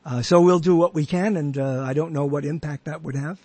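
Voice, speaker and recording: A man's voice: on the high side, fairly even intonation, brisk, mellow, no room sound. A man hosting a podcast, chatting casually and rambling with many restarts and half-finished thoughts. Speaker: podcast host. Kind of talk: casual interview chat.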